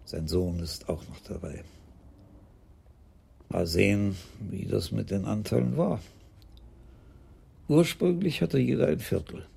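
Very gravelly, husky old police commissioner. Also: male voice, fairly low pitch, mellow, exaggerated voice. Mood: reflective. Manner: courteous, measured, deliberate. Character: elderly police commissioner, courteous, dry, unhurried. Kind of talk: radio play acting.

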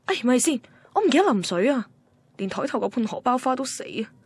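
An actress playing a chatty, self-doubting young single woman, confiding in expressive Hong Kong Cantonese in a radio drama. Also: on the high side, a little fast, husky. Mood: puzzled, sulky. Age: young adult. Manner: confiding, expressive inner monologue. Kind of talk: radio drama narration and dialogue.

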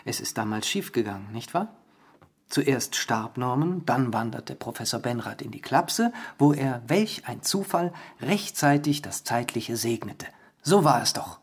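A man's voice: medium pitch, expressive, measured pace, breathy edge. A male actor playing a probing journalist, wry and insinuating, in a radio drama. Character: dry, probing male journalist pressing for the truth.

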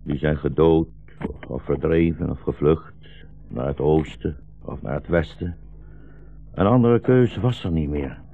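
An actor playing a weary, bitter old blind rabbi fleeing war, solemn and brooding, in a 1960s Dutch radio drama. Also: male voice, rumbling low, measured pace, full character voice. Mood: resigned, sombre. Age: older. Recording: dull, muffled 1960s radio recording.

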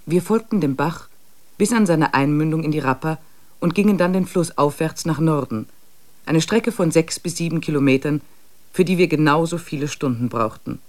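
A man's voice: fairly high pitch, a little mellow. Man narrating expedition diary. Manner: measured, matter-of-fact. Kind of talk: scripted diary narration in a radio drama.

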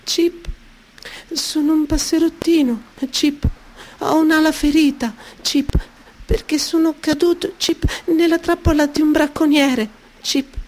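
A man's voice: very high-pitched, fairly even intonation, unhurried, darkish tone, a little hiss or hum, pitched above the performer's own voice. A man voicing a small, timid, injured sparrow in a storybook reading, with little chirps between his phrases. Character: tiny injured young sparrow, timid and sweet.

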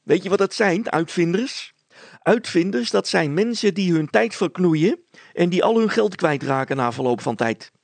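Guy doing a nagging indignant housewife from a storybook, pitched up, croaky, dry sound. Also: expressive, moderate pace, no room sound, heavily acted. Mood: scornful. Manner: sneering, indignant. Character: scornful, quarrelsome village wife and mother.

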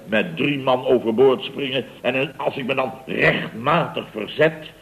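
A man's voice: medium pitch, a little slow, vocal fry, a bit bright, rough recording. A man playing a blustering, indignant boss of a building firm in a radio comedy, with pompous, theatrical storytelling. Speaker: lead actor in radio comedy serial. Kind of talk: 1970s Dutch radio comedy acting. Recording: vintage radio broadcast recording.

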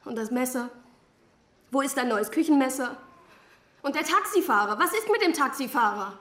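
An actress playing a frightened, accusing girlfriend in a radio drama, questioning gravely and pointedly. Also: sits high, animated, normal speed, bright, forceful tone. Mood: grave suspicion. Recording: echoing room, faint music underneath.

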